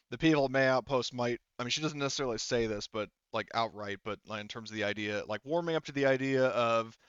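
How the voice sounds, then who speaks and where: A man works as game master in a tabletop game, explaining things off the cuff. His voice is smooth and of medium pitch, but his phrasing is halting and wandering. The recording is close and dry, a little muffled on top. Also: a bit bright, no echo. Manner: halting, filler-heavy, rambling explanations. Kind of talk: improvised tabletop game narration.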